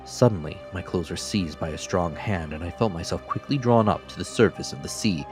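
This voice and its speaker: A man's voice: low voice, fairly quick. A man reading classic fiction as a dramatic, scripted audiobook narration. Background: faint music.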